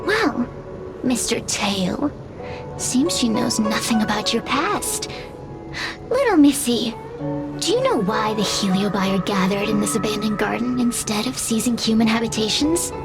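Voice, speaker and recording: A woman's voice: on the high side, expressive, unhurried, over loud music. A woman voicing a sly female spirit in a video game, languid, teasing and condescending, savouring the emotions of others. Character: sly, gloating female fire spirit who feeds on emotions. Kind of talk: video game cutscene acting.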